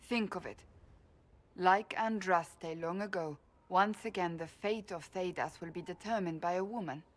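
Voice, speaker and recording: Game casting: devout female warrior, stern and sincere, formal measured delivery, slightly low register. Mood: admiring, solemn. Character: stern, devout woman warrior, earnest and doubting. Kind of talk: dramatic video game dialogue.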